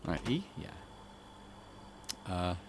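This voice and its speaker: A man's voice: sits low, wildly swooping pitch, slow pace, a little hiss or hum. A man hosting a casual let's play, hesitantly thinking aloud as he plays. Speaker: gaming channel host.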